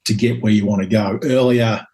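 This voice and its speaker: Man, 50s, Scottish accent, low voice, monotone delivery, hesitant reflective storytelling. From Scotland. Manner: hesitant, many ums and pauses. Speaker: guest.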